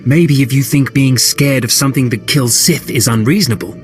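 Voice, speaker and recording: A man acting an anxious Imperial officer in a video game. He is mid-pitched, grave and urgent, with music clearly playing behind him. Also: brisk, lightly acted. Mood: imploring. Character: worried, imploring Imperial military officer.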